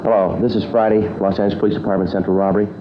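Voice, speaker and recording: Actor as a low-voiced, deadpan American police detective. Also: male voice, very fast, close to an everyday voice. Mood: polite, businesslike. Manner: clipped, matter-of-fact, deadpan. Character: stoic, businesslike male police detective sergeant. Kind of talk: 1950s radio drama acting and case narration. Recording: dull, noisy old radio recording.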